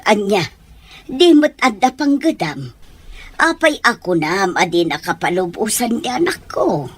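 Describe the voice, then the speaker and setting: A woman's voice: medium pitch, big pitch swings, measured pace, husky, no room sound. A woman with a Filipino accent playing a concerned, scolding older mother-in-law in a radio soap drama. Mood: concerned, reproachful.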